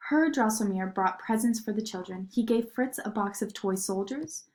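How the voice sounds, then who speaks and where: A woman narrating a children's story in an expressive read-aloud style. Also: mid-range voice, moderate pace.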